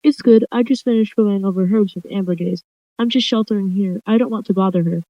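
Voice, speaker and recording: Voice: medium pitch, fairly quick, no echo, dull audio, natural voice. A storyteller voicing an eager, chirpy young female apprentice cat in a roleplay story. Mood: cheerful, proud.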